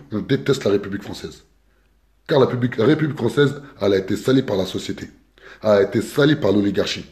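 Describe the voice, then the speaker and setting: A French man speaking as an activist spokesperson in a live appeal. His voice sits a bit low and sounds mellow and relaxed. He talks in a measured, insistent way and keeps stressing key words. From France. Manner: earnest, repetitive, stresses words like "exactement" and "simple"; deliberate, measured delivery. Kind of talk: political appeal, live video.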